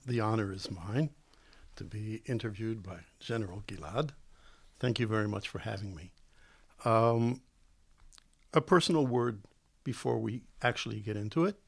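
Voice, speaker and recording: Older man, low gravelly dry voice. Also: no echo. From Israel. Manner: deliberate, slow on key words, with ums. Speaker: guest professor. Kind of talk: measured interview answers. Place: studio. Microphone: studio microphone.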